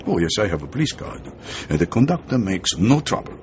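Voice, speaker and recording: An actor playing a shrewd, genial middle-aged intelligence chief in a radio drama. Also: male voice, low-pitched, big pitch swings, a little fast. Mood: sly, confiding. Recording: dull lo-fi recording, background noise.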